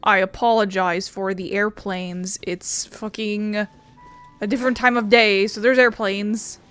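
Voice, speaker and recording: A woman's voice: mid-range voice, steady tone, a little slow, fairly bright tone, clear, resonant tone, faint music behind. A woman let's player giving casual, chatty commentary over a video game.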